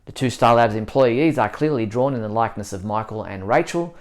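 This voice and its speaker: A man's voice: medium pitch, animated, fairly quick. Male news bulletin host. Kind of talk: scripted news bulletin narration.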